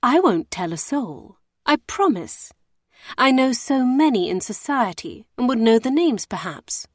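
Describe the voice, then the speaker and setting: A woman's voice: fairly high pitch, big pitch swings, a little slow, dry recording, clean studio sound, pitched above the performer's own voice. Woman putting on a simpering coy society lady. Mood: coy gushing. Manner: coy, gushing, wide-eyed. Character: sly noblewoman feigning simpering sweetness.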